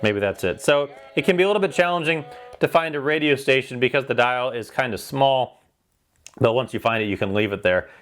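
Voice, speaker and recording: A man's voice: medium pitch, lively intonation, fairly quick, a bit bright, soft background music. A man informally walking through a product by hand, explaining it in a plain-spoken, practical way. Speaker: product reviewer. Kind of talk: casual hands-on product demonstration.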